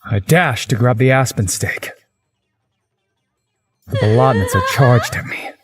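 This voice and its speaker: A man's voice: mid-range voice, lively intonation, unhurried, soft background music. A man narrating a visual novel in the first person, as a story's narrator.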